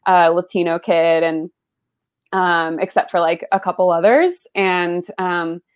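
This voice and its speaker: A young adult woman whose first language is Spanish, from the Bay Area, talking in a casual interview. She fills her speech with "like" and "um" and grows animated when recalling stories. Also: lowish voice, unhurried, clean tone. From San Francisco Bay Area, US. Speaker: interview guest, writer.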